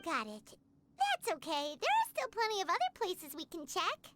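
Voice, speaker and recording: Squeaky, childlike fairy sidekick. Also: female voice, huge pitch swings, normal speed, very noisy, heavily acted. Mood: encouraging. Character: small cheerful childlike fairy companion, eager, talks in third person. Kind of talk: animated video game voice acting. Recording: game music behind the voice.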